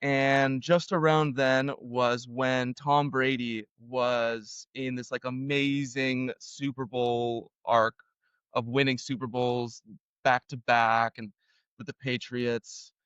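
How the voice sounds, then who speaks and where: Smooth-voiced man telling a story. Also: mid-range voice, takes it slowly, no echo, dull audio. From Argentina. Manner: relaxed, self-deprecating, lots of fillers. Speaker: podcast guest. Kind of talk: casual storytelling.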